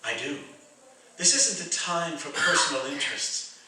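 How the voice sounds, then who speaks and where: A noble, preaching spirit in an old-fashioned radio play, acted by a man. The delivery is solemn and oratorical, fairly high and bright. It rings from far back in an echoing space. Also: moderate pace, slight background noise. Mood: solemn, stirring. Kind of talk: old-time radio drama acting. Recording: echoey stage, distant microphone.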